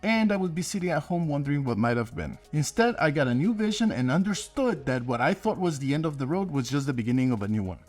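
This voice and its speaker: Man, fairly high voice, very animated intonation, scripted vlog narration, light music behind. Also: fast talker, hardly any pauses. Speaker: host and inventor. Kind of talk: scripted video narration to viewers.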